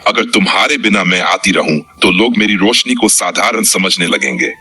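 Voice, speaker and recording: A man narrator puts on a radiant full-moon spirit, the kind elder sister of a storybook tale. The delivery is reassuring, in a low, bright voice with a raspy grain. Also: lively intonation, moderate pace, soft background music, heavily acted. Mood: gentle, reassuring.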